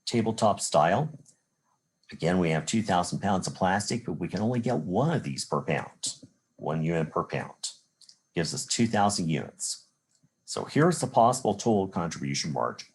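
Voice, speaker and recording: An American man lectures as a college instructor in a low, husky voice. His pitch moves up and down in a lively way as he explains. He works through examples methodically, one step at a time, restating points as he goes. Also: moderate pace. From Chicago area, United States. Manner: methodical, step-by-step explaining with rhetorical "well" and "again".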